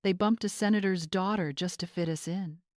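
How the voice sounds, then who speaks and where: A woman's voice: lowish voice, normal speed, a little mellow, no room sound, natural voice. Woman narrator as spoiled young bride for a storybook drama: syrupy, smug, cutting, very dry close sound. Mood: smug, fake sweet. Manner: syrupy mockery turning to cold commands. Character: catty young bride, fake-sweet, sharp and condescending.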